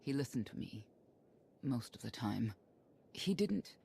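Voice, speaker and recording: Game warrior woman: mature, gravelly, deliberate, dryly witty, slight ambient noise. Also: a bass voice, sing-song, mellow, heavily acted. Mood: reflective.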